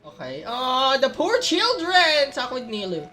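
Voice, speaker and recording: A woman's voice: high-pitched, huge pitch swings, a little slow, pushed and bright, faint music behind. A woman with a Filipino accent reacting casually to a game, exclaiming and switching languages as a streamer does. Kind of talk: casual live game reaction, Tagalog-English code-switching.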